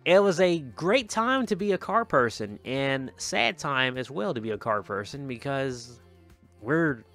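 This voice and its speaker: A man's voice: medium pitch, sing-song, unhurried, a bit bright. Casual man commentating over music. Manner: informal, colloquial, often repeats phrases. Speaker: gaming video creator and host. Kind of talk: casual gameplay commentary, chatty.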